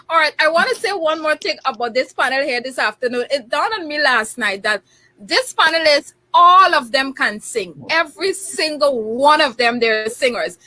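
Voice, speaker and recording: Bright high-voiced woman host. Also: expressive, moderate pace, no room sound. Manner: chatty, laughs often. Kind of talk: talk show hosting with prayer.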